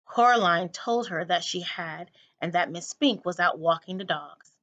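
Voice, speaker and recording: A woman narrating a children's book aloud in an expressive read-aloud style, like a teacher to a class. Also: fairly low pitch, moderate pace, highs cut off.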